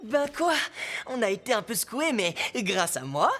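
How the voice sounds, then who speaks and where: A woman's voice: mid-range voice, big pitch swings, moderate pace. A woman playing a brash, impatient boy adventurer in a French children's cartoon, cocky and slangy. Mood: cocky, defensive. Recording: loud music behind the voice.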